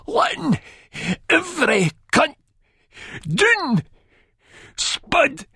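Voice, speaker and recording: A man's voice: very high voice, wildly swooping pitch, extremely slow, pushed and bright, no echo, studio quality, pitched above the performer's own voice, heavily acted. A man playing a violent, menacing Scottish hard man in an audiobook, strained and spitting, swearing with explosive aggression. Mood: pleading anger. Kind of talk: dramatised audiobook acting.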